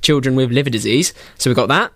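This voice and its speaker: A man's voice: medium pitch, quick pace, fairly bright tone. A young man co-presenting on radio in informal, enthusiastic, matey banter. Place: radio studio. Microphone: studio broadcast microphone.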